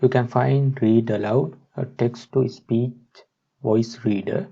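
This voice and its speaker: A man narrating a step-by-step software tutorial in a halting, instructional way, on a dull, muffled microphone. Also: mid-range voice, fairly even intonation, gravelly, breathy edge.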